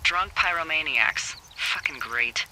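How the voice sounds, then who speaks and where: A man's voice: fairly high pitch, expressive, takes it slowly, background noise. A man voicing a grumbling character in a wilderness video game, in a naturalistic style. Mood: sardonic.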